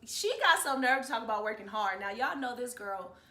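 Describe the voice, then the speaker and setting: A woman's voice: on the high side, lively intonation, fairly quick, pushed and bright. A woman of thirty-one talking casually about herself as an interview guest. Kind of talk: casual personal chat. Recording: echoey room with faint noise.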